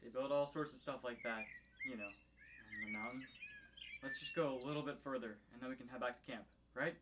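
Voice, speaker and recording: Teenage boy played by a man, medium pitch, sarcastic, skeptical, nervy, slangy, natural radio play acting. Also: fairly even intonation, fairly quick, background noise, lightly acted. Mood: uneasy. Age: teens or young adult. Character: wisecracking, skeptical, nervous teenage boy on a hike. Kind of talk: naturalistic audio drama acting.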